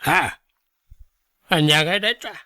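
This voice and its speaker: A man playing a stubborn, wily old father who begs for money, in an animated radio drama style. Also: fairly high pitch, slow, no echo, studio quality, full character voice. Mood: stubborn, grumbling. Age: older. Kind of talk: Ilocano radio drama acting.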